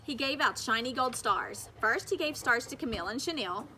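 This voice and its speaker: Female teacher for story read-aloud: high, bright voice, expressive narration, some background noise. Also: normal speed.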